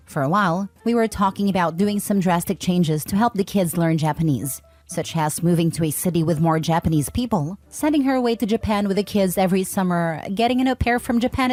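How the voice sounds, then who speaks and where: A woman reading forum posts aloud as scripted narration, in an even, steady way. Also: fairly low pitch. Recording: faint background music.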